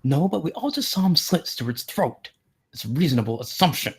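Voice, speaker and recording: An actor at a screenplay table read playing a tense, accusing and skeptical thriller character in an urgent, blunt way. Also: male voice, a little high, sing-song, fairly quick, slightly rough, no echo, full character voice. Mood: incredulous, wary.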